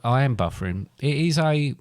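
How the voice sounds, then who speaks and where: A man's voice: medium pitch, lively intonation, normal speed, no room sound, studio quality. A middle-aged man, co-hosting a podcast in casual chat. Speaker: podcast co-host.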